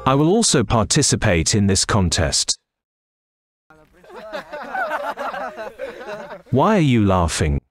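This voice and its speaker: A man's voice: lowish voice, huge pitch swings, normal speed, faint music behind. A man playing male characters in a children's cartoon folk tale, speaking scripted lines emphatically. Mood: boastful, mocking.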